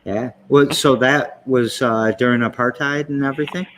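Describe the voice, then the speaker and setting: A man's voice: medium pitch, fairly even intonation, moderate pace, a bit bright, slight background noise. A man hosting a casual interview podcast, talking in a loose, rambling way with many fillers and restarts. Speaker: podcast host. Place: indoor sanctuary room of a venue.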